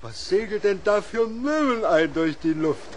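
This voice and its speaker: Old salt of a children's radio play: jolly, exclamatory, pitched high for a man. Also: animated, measured pace, a little hiss or hum. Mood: surprised, delighted. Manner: exclamatory, folksy seafarer talk to himself.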